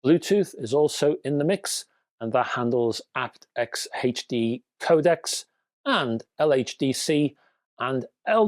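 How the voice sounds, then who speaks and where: A man's voice: medium pitch, darkish tone, no room sound. A man presenting product news to viewers in a conversational way, with rhetorical questions and wry asides.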